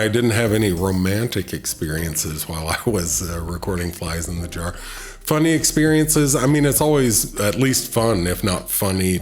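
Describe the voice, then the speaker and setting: Low-voiced man in a casual interview. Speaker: voice actor.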